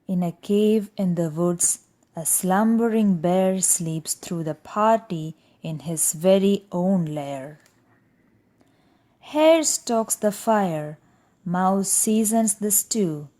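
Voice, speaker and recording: A woman reading a children's rhyming storybook aloud in a deliberate, expressive, rhythmic way. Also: lowish voice. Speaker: storybook reader. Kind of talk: children's read-aloud narration.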